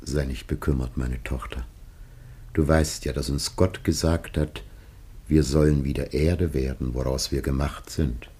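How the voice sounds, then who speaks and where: Grieving old patriarch in a radio play, really low husky voice, slow and solemn. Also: male voice, steady tone, darkish tone, exaggerated voice. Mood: grave resignation. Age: older. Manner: declamatory, grave, sorrowful. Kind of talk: solemn classical radio drama acting.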